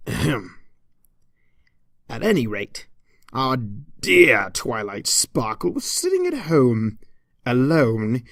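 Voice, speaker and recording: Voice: mid-range voice, no room sound, studio quality. A storyteller reading a comedic story as an arch, mock-pompous narrator in a theatrical manner. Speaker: fan fiction reader.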